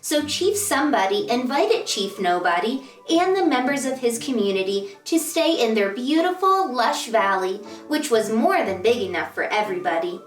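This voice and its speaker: A woman reading aloud to children as a story-time host, with an expressive, deliberate storytelling delivery. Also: fairly high pitch. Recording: roomy echo, distant mic, music behind.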